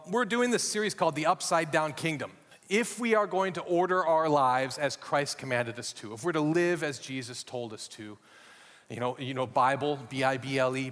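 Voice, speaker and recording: A man's voice: mid-range voice, a bit bright, big-room sound. A middle-aged American man from Minnesota, preaching a sermon as a guest speaker with folksy, self-deprecating humor. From Minnesota, USA. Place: church hall.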